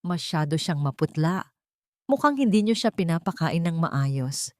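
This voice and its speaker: A woman's voice: low voice, big pitch swings, unhurried, no echo, studio quality. A woman voicing a haughty, mocking rich mistress in a melodrama story. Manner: mocking, silky condescension.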